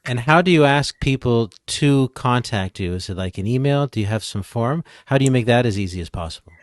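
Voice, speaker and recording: A man's voice: medium pitch, animated, a little fast. A man speaking as an expert guest on a podcast, in casual interview conversation.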